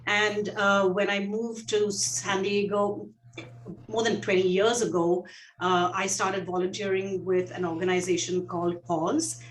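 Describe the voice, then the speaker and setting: Woman, Indian accent, gentle call co-host, pausing often; roomy, off-mic sound. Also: mid-range voice, little pitch movement, recorded from a distance, slight background noise. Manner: gentle, many fillers and pauses. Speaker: guest co-host, shelter veterinary director. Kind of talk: casual video call chat. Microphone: video call.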